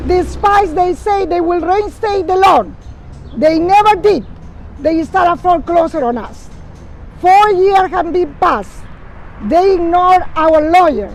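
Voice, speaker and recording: A woman's voice: very high-pitched, darkish tone, rough recording. An older woman with a Peruvian accent delivering prepared public testimony as a speaker at a press conference. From Peru. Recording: outdoor recording with faint traffic noise.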